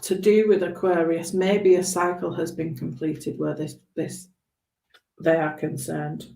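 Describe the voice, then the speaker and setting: Slow-paced woman reading tarot, roomy sound. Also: low voice, flat delivery, creaky, dark tone. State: hoarse after long talking. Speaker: tarot reader hosting a live stream. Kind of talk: spontaneous live tarot reading, conversational. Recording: roomy, echoing room sound.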